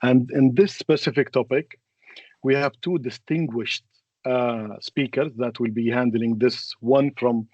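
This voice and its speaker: A man, a native Arabic speaker, moderating a webinar and introducing speakers in a halting, hesitant way. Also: medium pitch, fairly even intonation, a little mellow, highs cut off.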